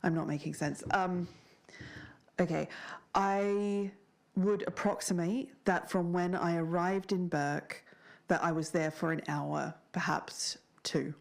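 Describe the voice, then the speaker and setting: A woman playing an earnest, shaken survivor of a strange town, speaking haltingly in a naturalistic audio drama interview. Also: fairly low pitch, fairly even intonation, gravelly. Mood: halting, unsettled. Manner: halting, searching for words.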